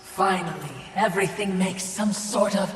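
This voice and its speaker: A woman's voice: medium pitch, moderate pace, natural voice. A woman playing a servile, coaxing attendant to a mistress in a video game. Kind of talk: dramatic video game voice acting. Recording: echoey hall, faint noise.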